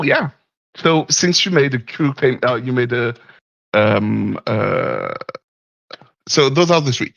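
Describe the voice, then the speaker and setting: Guy calling in, raspy fry in his voice, lots of ums, stops to find the right english word. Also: medium pitch, fairly bright tone, no echo, muffled recording. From Martinique. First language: French. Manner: hesitant, searching for words, chuckles often. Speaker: caller. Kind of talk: call-in discussion.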